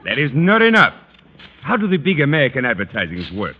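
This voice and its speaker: A man playing an excitable, persuasive Frenchman with a French accent in a 1940s radio comedy. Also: mid-range voice, fairly quick, slightly rough, long reverb tail. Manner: emphatic, animated, persuasive. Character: excitable Frenchman, scheming romantic adviser. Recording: noisy muffled old radio recording.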